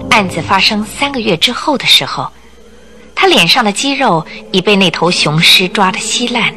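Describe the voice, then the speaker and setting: A woman giving scripted narration for a radio drama in a dramatic storytelling manner. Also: medium pitch. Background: music.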